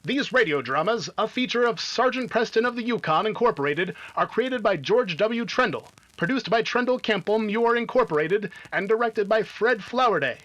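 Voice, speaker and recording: A man acting an eager, emphatic 1950s radio announcer who pitches to children with clear enunciation. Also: animated, moderate pace, hardly any pauses, fairly bright tone. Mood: formal, upbeat. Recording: dull, lo-fi radio sound.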